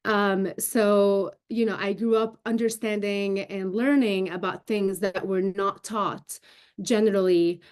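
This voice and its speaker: A woman's voice: medium pitch, fairly even intonation, slow, smooth. A woman in her thirties from Syria, a native Arabic speaker, explaining things emphatically and articulately as an interview guest on a video call. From Syria, raised in Damascus. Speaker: interview guest, broadcast journalist and show host. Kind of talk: remote news interview, explanatory talk. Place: indoor room, video call.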